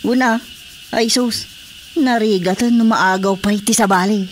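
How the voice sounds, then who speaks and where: A woman's voice: medium pitch, fairly even intonation, measured pace, faint music behind, close to an everyday voice. A woman playing a hapless, complaining comic in-law in a radio drama, with an Ilocano Filipino accent. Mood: whiny. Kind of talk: radio drama comedy acting.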